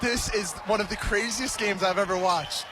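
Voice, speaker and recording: A man's voice: high voice, moderate pace, a crowd in the background, noisy background. A man giving colour commentary on a live game, reacting in an incredulous, exclamatory way. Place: basketball arena.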